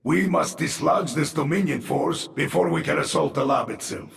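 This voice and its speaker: A man playing a grim, wary infested military officer in a video game, gravelly, giving measured briefings. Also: on the high side. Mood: grim, urgent. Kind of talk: video game cutscene acting.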